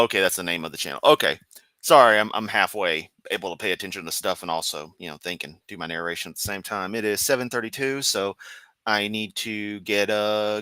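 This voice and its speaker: A man's voice: lowish voice, bright, forceful tone, clean tone, dry recording. A man hosting a casual live stream, rambling and sarcastic while clicking around, with a sore throat. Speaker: livestream host.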